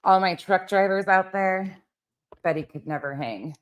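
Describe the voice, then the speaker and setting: A woman's voice: medium pitch, unhurried, dull audio. A woman hosting reaction commentary, sarcastic and profane, with theatrical, mocking delivery. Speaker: commentary channel host.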